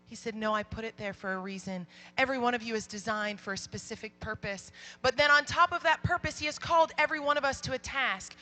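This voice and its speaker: Loud, bright young woman speaker. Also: high voice. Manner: animated, acts out quoted voices. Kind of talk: storytelling youth talk.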